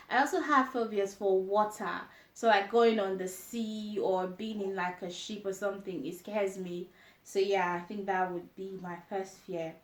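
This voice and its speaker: Chatty Nigerian woman in an echoey room. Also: medium pitch. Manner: chatty, laughs often, frequent ums. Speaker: vlogger. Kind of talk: casual chat answering tag questions.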